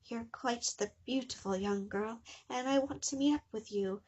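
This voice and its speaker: A creepy male cartoon creature voiced by a woman, fawning and infatuated. The voice is soft and hushed, lifted a little high, with syrupy, halting delivery. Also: dull audio, natural voice. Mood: creepy wooing.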